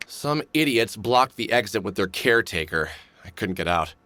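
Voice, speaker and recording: A weary middle-aged game protagonist with a low, expressive voice. He is dry and wry, grumbling his way through muttered observations and dialogue. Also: normal speed, no room sound. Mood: wary, grumbling. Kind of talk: video game voice acting, muttered inner monologue and dialogue.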